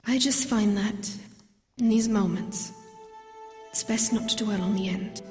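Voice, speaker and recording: A woman's voice: medium pitch, a little slow, darkish tone, faint music behind, lightly acted. Woman playing a firm prisoner in echoing hall. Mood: calm, probing. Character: stern, composed woman prisoner, practical and reflective. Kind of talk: audio drama acting. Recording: echoey reverberant hall.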